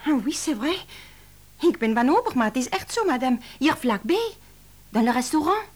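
A young Frenchwoman in a radio play, effusive and excitable. Her voice is high and very animated, with a French accent. She keeps dropping French phrases into her eager speech. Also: normal speed. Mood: distraught. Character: excitable young Frenchwoman, devoted office cook and assistant. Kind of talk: Dutch radio play acting.

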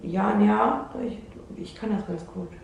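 A woman's voice: mid-range voice, fairly even intonation, measured pace, creaky, a little mellow. An actress playing an unsettled, insecure young woman in a naturalistic radio play, talking hesitantly and interrupting herself. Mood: unsure, hesitant. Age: young adult. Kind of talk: naturalistic radio drama dialogue. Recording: roomy sound with faint noise.

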